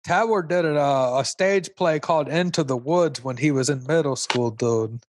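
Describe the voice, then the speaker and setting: A man's voice: a little high, moderate pace, no echo, natural voice. A man playing an easygoing, enthusiastic slacker host who talks like a laid-back dude in a comedy radio interview. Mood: chummy, boastful. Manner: laid-back surfer-dude talk, constant "dude". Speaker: talk show host.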